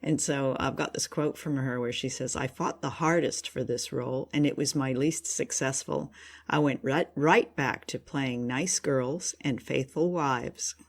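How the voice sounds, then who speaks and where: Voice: mid-range voice, fairly even intonation, a little slow, vocal fry. A co-host of a radio drama review show, chatting casually in an appreciative, effusive way.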